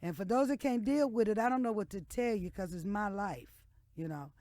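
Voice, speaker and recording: A woman's voice: medium pitch, fairly quick, mellow, dry recording. Radio interview guest: American woman, 60s, candid, emphatic, conversational, recorded dry in studio. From Texas and Oklahoma, United States. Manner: frank, outspoken, emphatic. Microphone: studio microphone.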